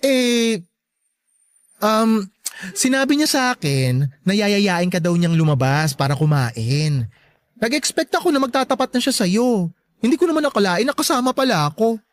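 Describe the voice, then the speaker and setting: Actor doing a sassy filipino bestie for radio drama, playful n theatrical, pitch jumps all over. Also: big pitch swings, moderate pace, dry recording, lightly acted. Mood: sheepish. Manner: sassy, theatrical, exclamatory slang. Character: Scar, playful, teasing, flamboyant best friend.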